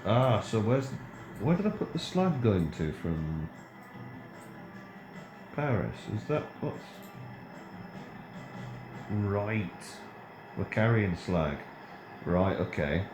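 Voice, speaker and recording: A man's voice: on the low side, sing-song, slow pace, long pauses, over music, rough recording. A middle-aged man giving casual, rambling gameplay commentary, full of ums and self-corrections. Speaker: game video creator.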